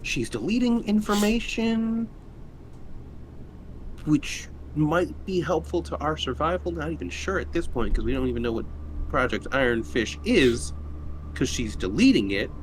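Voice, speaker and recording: A man's voice: a little high, lively intonation, moderate pace, poor audio. A man taking part in a tabletop roleplaying game as a player, chatting casually in and out of character. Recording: faint background noise.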